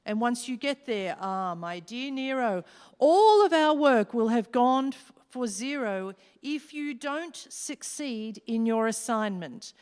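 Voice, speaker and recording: A woman playing a commanding devil who presides over fiends in a rhyming radio play, speaking deliberately and theatrically. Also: on the high side, expressive, a little mellow, smooth. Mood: grand, instructing. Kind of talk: staged radio play acting. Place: live stage in a hall. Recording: echoey hall with long reverb.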